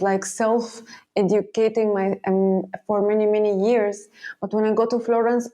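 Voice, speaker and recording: A woman from Egypt, a painter answering interview questions in a halting way, with many fillers and restarts. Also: mid-range voice, a little mellow. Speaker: guest painter.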